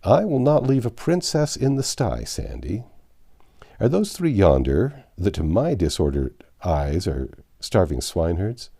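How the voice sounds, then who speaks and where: Man playing a wry, confident hero, lively pitch. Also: measured pace, creaky, heavily acted. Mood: bold reassurance. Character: confident, wry American man humoring a damsel.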